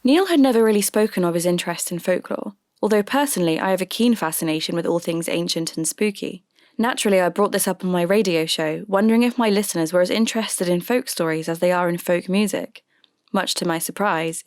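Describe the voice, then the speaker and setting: An actress playing a curious radio DJ hosting a podcast, narrating in a measured, conversational way. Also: female voice, on the low side, darkish tone, no room sound, studio quality, close to an everyday voice. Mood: measured, intrigued. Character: spooky-curious female radio DJ turned podcast host. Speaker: host.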